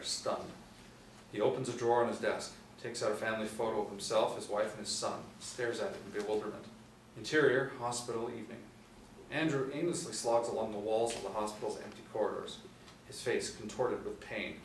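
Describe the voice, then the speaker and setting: A middle-aged man narrates stage directions from a script. His voice sits fairly low. The sound is roomy, with faint noise behind him. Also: fairly quick. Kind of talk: scripted narration of screenplay action. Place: small indoor room, seated at table read. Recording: roomy, distant mic, noisy ambience.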